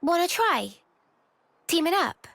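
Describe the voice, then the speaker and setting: Young british soldier girl from a game, high voice, very lively, direct and earnest, dry sound. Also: slow pace, creaky, no echo, heavily acted. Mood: tentative, earnest. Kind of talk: video game cutscene voice acting.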